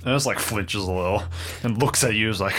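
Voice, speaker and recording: A man's voice: fairly low pitch, brisk, fairly bright tone, faint music behind. A man playing in an improvised audio drama, speaking in an informal, jokey, casual way. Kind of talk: casual improvised role-play.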